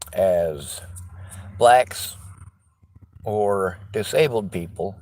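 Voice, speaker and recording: Tired, gravelly-voiced Southern man. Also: mid-range voice, poor audio. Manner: slow, tired, drawling delivery. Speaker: vlogger. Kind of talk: rambling monologue to camera.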